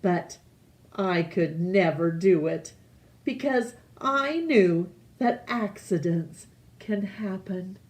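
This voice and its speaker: A woman reading a children's picture book aloud, deliberately, with pauses between phrases. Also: fairly low pitch, animated, darkish tone, a little hiss or hum. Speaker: storytime reader.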